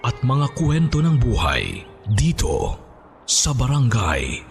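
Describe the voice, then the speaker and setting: A man's voice: mid-range voice, huge pitch swings, slow, creaky. A man announcing a radio story programme in a dramatic scripted intro style. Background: music.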